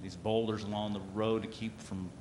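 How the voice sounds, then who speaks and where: A man's voice: on the low side, flat delivery, moderate pace, a crowd in the background. A man making a blunt, frustrated public comment at a community meeting.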